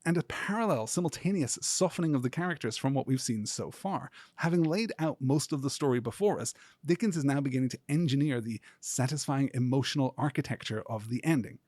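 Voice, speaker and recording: A man hosting a podcast as a scripted literary lecture, speaking in a measured, earnest, academic way. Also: mid-range voice, expressive, darkish tone, no echo. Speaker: podcast host.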